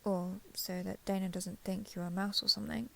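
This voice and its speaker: A young woman playing a caring giant dragon girl in an intimate audio roleplay, speaking to the listener in a gentle, reassuring, slightly hesitant way. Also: medium pitch, fairly even intonation, normal speed, vocal fry, full character voice. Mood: gentle, hopeful.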